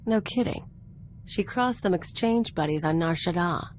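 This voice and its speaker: An actress playing a brash, streetwise gunslinger in a video game, talking in a casual, clipped, cocky way. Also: female voice, on the low side, lively intonation, normal speed, a little hiss or hum, close to an everyday voice. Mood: determined, casual. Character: brash, streetwise female outlaw gunslinger companion. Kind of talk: conversational video game acting.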